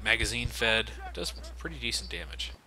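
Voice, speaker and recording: A young man giving relaxed, casual commentary over a game, swearing freely and laughing often. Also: fairly low pitch, moderate pace, rough recording. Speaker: let's play commentator. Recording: game gunfire noise behind. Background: game gunfire and ambience.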